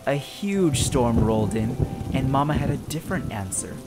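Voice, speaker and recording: A narrator reading a children's storybook aloud in an expressive, dramatic and deliberate storytelling style. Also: medium pitch. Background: music.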